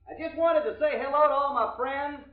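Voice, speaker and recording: An echoey, noisy old radio recording carries a hearty male cowboy star. His very high, projected voice makes a folksy address to children in 1940s drama style. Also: fairly quick, narrow, tinny audio.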